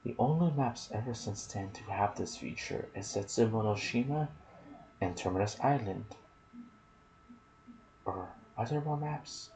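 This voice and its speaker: A soft-spoken man narrating video game lore in an ASMR style, with a hushed, deliberate delivery in short phrases. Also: fairly low pitch, animated, rough recording. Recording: clear background noise.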